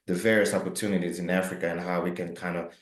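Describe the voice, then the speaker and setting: A man's voice: low-pitched, little pitch movement, fast talker, echoey room. A man from Cameroon, co-founder of a housing company, answering interview questions with many fillers and restarts.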